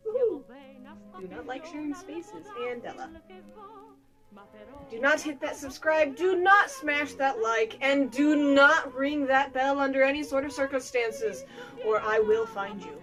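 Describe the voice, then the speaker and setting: A young woman giving a mock-dramatic, deliberately hammy reading for her video audience, with drawn-out emphasis. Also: sits high, a bit bright, soft background music. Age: young adult. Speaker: video creator presenting to subscribers.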